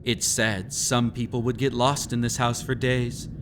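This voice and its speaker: A man playing a mysterious, teasing host of a haunted estate in an immersive roleplay audio, telling tales to the listener with dramatic pauses. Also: mid-range voice, level tone, background noise, natural voice. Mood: mysterious, inviting. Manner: teasing, long-winded storyteller, slow dramatic pauses.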